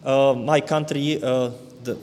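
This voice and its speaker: A man with a Slovak accent, Slovak his first language, speaking haltingly with many fillers and restarts as a visiting preacher addressing a church. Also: mid-range voice, steady tone, a little mellow, a little hiss or hum. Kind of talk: church talk. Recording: long hall reverb.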